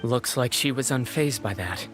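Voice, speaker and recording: A man's voice: mid-range voice, lively intonation, normal speed, natural voice. An actor playing a dutiful, formal investigator who reports to his captain in a dramatic video game scene. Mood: concerned. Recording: music behind the voice.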